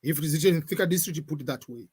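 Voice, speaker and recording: A man's voice: a little high, very fast, a little mellow, slightly rough. Man hosting a livestream. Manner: hesitant with frequent fillers, switching between English and Swahili. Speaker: livestream host answering viewer questions. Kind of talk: casual live Q&A. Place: indoor room at home.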